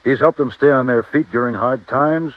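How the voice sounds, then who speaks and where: A man's voice: mid-range voice, normal speed, muffled recording, natural voice. Man playing a chummy banker, thin radio sound. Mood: earnest, defensive. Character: genial, loyal male bank cashier, old friend. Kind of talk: 1950s radio acting. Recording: dry, thin old radio recording.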